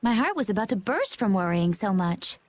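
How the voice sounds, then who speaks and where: An actress playing a calm, fearless young woman in a video game, composed and gently teasing. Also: mid-range voice, a little fast, a little hiss or hum, lightly acted. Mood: playful. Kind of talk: video game cutscene acting.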